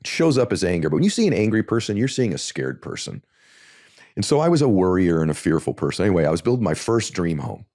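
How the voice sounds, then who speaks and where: Brief: male guest, deep earnest voice, rises to passionate emphasis, dry close recording. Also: very animated, fast, mellow, no room sound. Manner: earnest, builds to impassioned emphasis when telling a story. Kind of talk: conversational interview storytelling.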